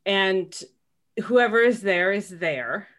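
A woman hosting a casual podcast conversation, talking in a warm, earnest way and asking follow-up questions. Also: mid-range voice, lively intonation, takes it slowly. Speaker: podcast host.

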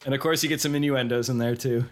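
A man's voice: medium pitch, fairly even intonation, fast. American man with a cold, chatting casually. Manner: rambling, jokey, conversational. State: has a cold, losing voice. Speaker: podcast co-host. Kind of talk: casual film discussion.

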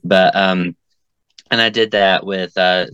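A man's voice: low-pitched, steady tone, slow pace, fairly bright tone, smooth, dry recording, dull audio. A man, a filmmaker and actor, talking casually as an interview guest, rambling with frequent fillers. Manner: talkative, frequent "um" and "like". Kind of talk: casual conversation.

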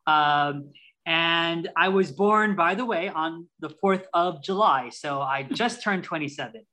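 A man's voice: a little high, a little slow, sharp tone, poor audio. A man in his twenties from Ohio, talking as a podcast guest in an eager, chatty way with frequent restarts. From Ohio, United States. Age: 20s. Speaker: guest, youth caucus chair. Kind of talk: casual interview talk.

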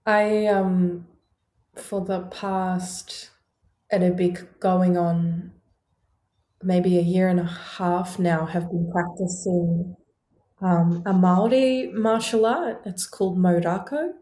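A movement and sound artist speaking in a reflective conversation over a video call, measured and searching, with frequent ums. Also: female voice, fairly low pitch, fairly even intonation, warm tone, a little hiss or hum. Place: home in Melbourne. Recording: roomy video call audio.

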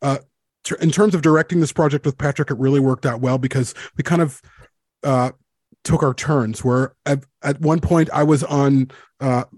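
A man hosting a casual roundtable, talking earnestly in a halting way and circling back over his phrases. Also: medium pitch, fairly even intonation, a little mellow, no room sound, studio quality. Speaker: podcast host and co-director.

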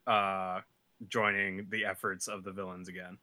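Male co-host, casual discussion, very sharp bright tone, slightly low pitch. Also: little pitch movement, moderate pace, no room sound. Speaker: manga discussion podcast co-host. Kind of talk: casual chat.

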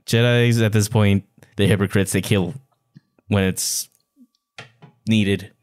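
A man's voice: on the low side, steady tone, takes it slowly, a little mellow. A man co-hosting a podcast, talking casually about a book and repeating words as he thinks aloud. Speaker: podcast co-host.

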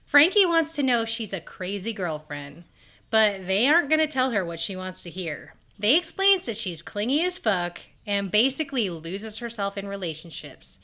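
A woman's voice: mid-range voice, very animated, moderate pace, pushed and bright. A woman co-hosting a podcast, recapping a TV episode in casual chat, laughing often and swearing freely. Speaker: podcast co-host.

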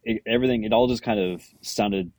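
A man's voice: on the low side, wildly swooping pitch, a little fast, creaky, darkish tone, no echo. A man guest on a music podcast, talking candidly with hesitant restarts. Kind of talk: casual music chat.

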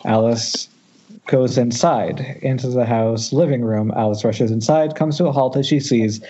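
Casting: male narrator for screenplay directions, husky, wry and informal, muffled internet-call sound. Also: medium pitch, moderate pace, warm tone. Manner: wry, jokey ad-libs. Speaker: narrator reading stage directions. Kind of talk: loose, informal script narration at a table read.

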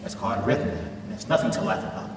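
A man's voice: fairly low pitch, lively intonation, fast, close to an everyday voice. Man playing a dry villainous henchman, distant, echoey. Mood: dry, patient. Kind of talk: radio drama acting. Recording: echoey hall, distant mic, noisy.

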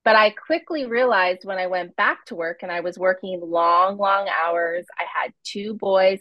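A woman's voice: lowish voice, a bit bright, dry recording. Woman in her forties, dry dull recording. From Canada. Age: 40s. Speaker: guest, home organizing coach. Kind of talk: interview.

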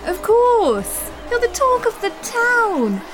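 Decadent gushing lady president, drawling "darling" type, high and lively, played by a woman, music under. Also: slow pace, full character voice. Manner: theatrical, drawling, calls everyone darling. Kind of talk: audio drama acting.

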